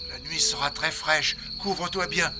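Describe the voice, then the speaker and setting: A devoted cartoon fellow played by a man, rather high for a man with a gravelly creak. He sounds protective and concerned. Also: normal speed, over loud music, lightly acted. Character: worried, devoted young male friend. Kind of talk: dubbed children's cartoon acting.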